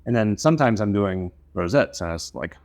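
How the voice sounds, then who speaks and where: A man in his thirties from North Carolina, an instrument maker answering interview questions in an easygoing, thoughtful way with many pauses. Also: fairly low pitch, lively intonation, a little mellow.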